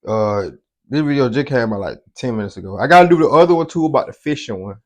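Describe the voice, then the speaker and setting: A man's voice: medium pitch, expressive, fast talker, a little mellow. A man hosting a reaction video, talking in a loose, slangy, chatty way. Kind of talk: casual reaction commentary.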